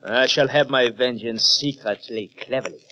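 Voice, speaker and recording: An actor playing a megalomaniac, vengeful scientist in a grandiose, menacing style of old radio horror. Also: male voice, mid-range voice, moderate pace, pushed and bright, natural voice. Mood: vengeful, gloating. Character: megalomaniac vengeful male scientist revived in a stolen body. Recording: old radio recording, faint noise.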